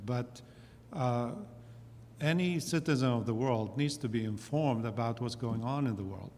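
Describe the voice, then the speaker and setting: Male panelist, measured delivery, long hall reverb with faint background hiss. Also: medium pitch, a little mellow. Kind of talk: panel discussion. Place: church sanctuary.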